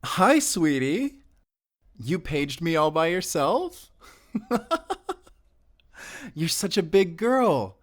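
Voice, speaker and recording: A man's voice: fairly high pitch, sing-song, very slow, pauses often, exaggerated voice. A man acting in an audio roleplay as a friendly, awkward neighbor and father, apologetic and rambling in a naturalistic way. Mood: tender, doting. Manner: flustered, apologetic, rambling, nervous chuckles.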